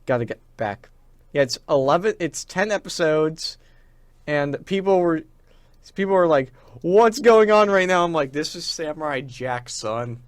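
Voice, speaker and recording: A man's voice: on the high side, lively intonation, unhurried, no room sound, studio quality. A young man co-hosting a podcast in casual chat, rambling with a fan's enthusiasm. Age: young adult. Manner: enthusiastic fan talk, rambling. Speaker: podcast co-host.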